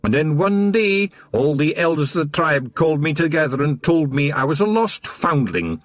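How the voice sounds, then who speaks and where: A man's voice: a little high, big pitch swings, moderate pace, dry recording, clean studio sound, voice pushed up. A man voicing a tiny Scottish fairy watchman, stubborn, earnest and easily indignant.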